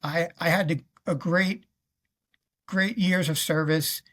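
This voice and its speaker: A man in his fifties with a New York accent recounts his career in an interview on a video call, pausing often as he goes. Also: a little high, steady tone, a bit bright. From Queens, New York. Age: 50s. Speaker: guest, retired federal law officer and navy veteran. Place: indoor room on a video call.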